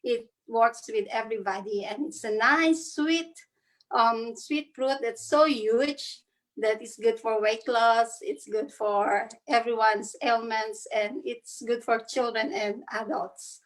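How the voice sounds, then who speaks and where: A woman in her thirties from the Philippines introducing herself on an online panel, earnest and halting, over a video call. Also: on the high side, rough recording. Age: 30s. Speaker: panelist, spa owner.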